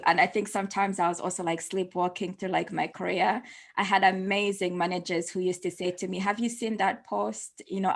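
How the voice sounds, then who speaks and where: A woman who grew up in the United States, hosting a casual video interview in a chatty, conversational way with frequent fillers. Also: medium pitch. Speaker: host.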